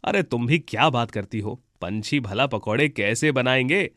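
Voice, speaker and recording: A man's voice: medium pitch, animated, moderate pace, fairly bright tone, no echo, clean studio sound, close to an everyday voice. A man playing a cheerful, hungry woodcutter husband in a children's cartoon. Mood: dismissive. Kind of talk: Hindi children's cartoon voice acting.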